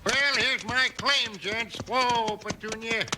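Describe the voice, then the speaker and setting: An actor playing an excitable old gold prospector in a 1950s radio Western, with a folksy, exclamatory American Western drawl. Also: male voice, very high voice, slow pace. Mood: feisty. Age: older. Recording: noisy 1950s radio recording with clicks.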